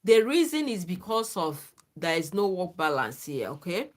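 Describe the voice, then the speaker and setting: A woman's voice: fairly low pitch, big pitch swings, normal speed. Earnest woman giving advice, checks in often. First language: not English. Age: adult, former lecturer. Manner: earnest, repeats "okay?" to check in with listeners. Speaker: livestream host. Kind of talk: casual advice talk to viewers.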